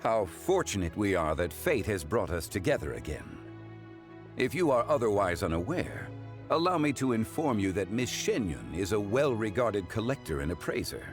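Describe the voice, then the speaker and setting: A man voicing a calm, learned gentleman consultant in a video game, with measured, courteous, eloquent speech. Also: fairly low pitch, music in the background. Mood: courteous, serene.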